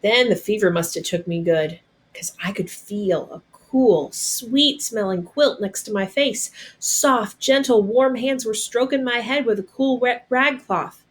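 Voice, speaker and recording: A woman's voice: fairly high pitch, a little slow. A woman narrating a storybook expressively in a first-person read-aloud. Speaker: storybook reader.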